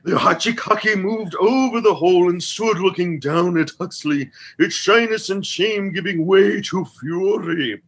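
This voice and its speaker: A man playing a dramatic storyteller, narrating a comic fantasy radio play in a measured, ominous manner. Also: sits high, a bit bright, exaggerated voice. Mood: measured, suspenseful.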